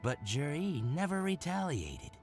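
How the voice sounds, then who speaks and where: A man's voice: a little high, big pitch swings, measured pace. A man playing a shrewd, possessive boutique owner in a video game, talking in a theatrical, haggling way. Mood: gossipy, scheming. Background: game music.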